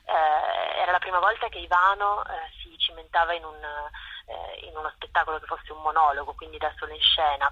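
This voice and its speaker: A woman's voice: low-pitched, slightly rough. A woman being interviewed as a theatre director, explaining casually and often checking with little tag questions, over a phone call. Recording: dull, roomy radio phone-in sound.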